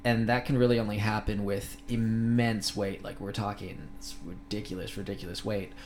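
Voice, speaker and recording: Soft-spoken young man, low voice. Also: fairly even intonation, a little hiss or hum. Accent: American. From Filipino-American, raised in Thailand. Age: 20s. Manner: measured, explanatory, with fillers. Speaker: podcast guest, bodybuilder. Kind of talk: casual interview. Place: podcast studio.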